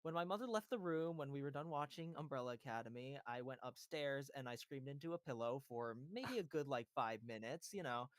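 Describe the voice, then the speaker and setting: A man's voice: medium pitch, animated, a little fast, few pauses, smooth, dry recording. A man voice actor telling a story on a casual panel, rambling with many fillers and giggles. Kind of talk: casual livestream chat.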